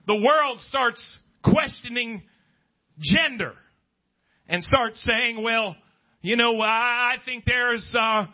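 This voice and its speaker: A man's voice: very high voice, takes it slowly, dull audio. A man preaching a Pentecostal-style sermon to a congregation, speaking emphatically, repeating phrases and prompting listeners for agreement.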